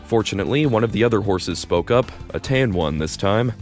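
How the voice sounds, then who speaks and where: A man's voice: on the low side, lively intonation, brisk, a little mellow, soft background music. A man narrating a story in the second person, casual and wry, with dry asides.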